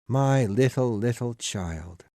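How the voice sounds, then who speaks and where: A woman voicing a kind, grieving father who talks slowly and tenderly, keeping up a cheerful front in a storybook tale. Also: deep voice, very animated, dark tone, dry recording, clean studio sound, voice pushed up, heavily acted. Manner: slow, tender, emotional.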